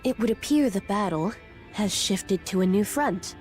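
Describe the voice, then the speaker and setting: Lady doing calm precise sidekick in a video game, middle pitch, dry wording, bit of music behind. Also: moderate pace, close to an everyday voice.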